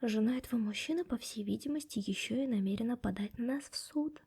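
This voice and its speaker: A young woman voicing a stern, sharp-tongued female office boss in an ASMR roleplay, whispering softly close to the mic. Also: fairly high pitch, fast, husky. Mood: hushed, secretive. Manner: scolding and sarcastic to staff.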